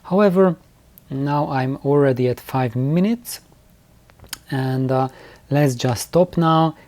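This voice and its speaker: A man giving an informal, unscripted explanation as a video host, halting often to repeat words and restart. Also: mid-range voice, a little mellow, dry recording. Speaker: host of DIY loudspeaker building videos.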